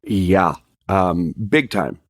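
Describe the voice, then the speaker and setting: Man, fairly low pitch, casual chat, halting, self-effacing, podcast guest. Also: lively intonation.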